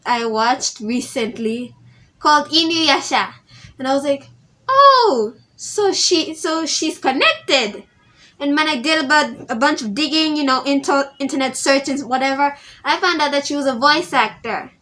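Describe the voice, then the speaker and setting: A woman's voice: high-pitched, sharp tone. A teenage girl talking casually to her viewers, rambling and often restarting her sentences. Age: teens. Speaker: vlogger. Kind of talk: casual vlog tribute.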